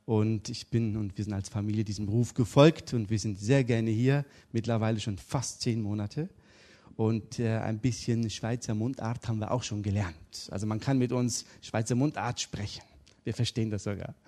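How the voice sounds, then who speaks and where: Middle-aged preacher guy from turkey, jokey storyteller, lively. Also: fairly low pitch, moderate pace. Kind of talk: interview and sermon.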